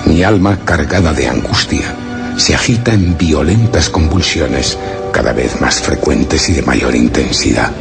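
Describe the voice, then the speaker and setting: Very deep-voiced, grave explorer. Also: male voice, measured pace, creaky, over music, heavily acted. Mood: anguished, solemn. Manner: grave, formal, literary delivery. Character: anguished male explorer hiding among a foreign people, solemn and secretive. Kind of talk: radio drama first-person narration of suspense and horror.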